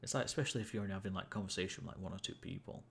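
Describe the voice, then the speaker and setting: Deep gravelly guy rambling to his stream viewers, lots of ums, bit of hiss behind. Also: racing. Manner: rambling, self-amused, many fillers and restarts. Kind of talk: casual gameplay chat to viewers.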